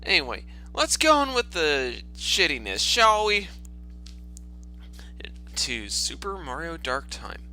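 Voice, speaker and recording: A man's voice: fairly high pitch, big pitch swings, slow pace, long pauses, bright, forceful tone, slight background noise. A man doing a sarcastic, foul-mouthed dramatic reading with exasperated commentary, his throat affected by a lingering cough. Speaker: host of a storytime reading series.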